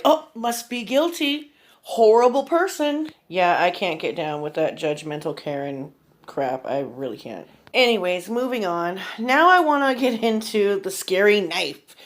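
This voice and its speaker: A woman giving casual, opinionated commentary in informal, slangy language as a video creator. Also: fairly low pitch.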